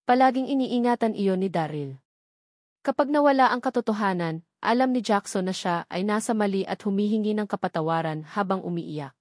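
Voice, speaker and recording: A woman's voice: medium pitch, brisk, dry recording, clean studio sound. A woman reading a novel aloud as a scripted story narration. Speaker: audiobook narrator.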